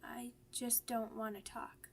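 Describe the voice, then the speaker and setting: A woman playing a shy, grieving, vulnerable young werewolf pup in an ASMR audio roleplay, with halting, stammering, childlike speech. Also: a little high, flat delivery, vocal fry, slight background noise. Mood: tired, reluctant.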